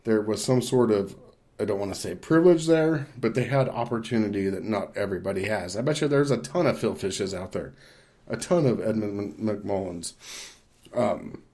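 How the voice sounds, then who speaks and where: Man, lower-pitched voice, podcast host, casual games discussion, rambling and opinionated. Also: normal speed, dark tone.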